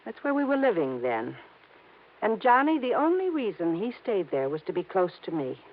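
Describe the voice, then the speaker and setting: An actress playing an anxious, defensive young wife and heiress in a 1950s radio drama, earnest and pleading, on a tinny old recording. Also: female voice, mid-range voice, big pitch swings, normal speed. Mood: regretful.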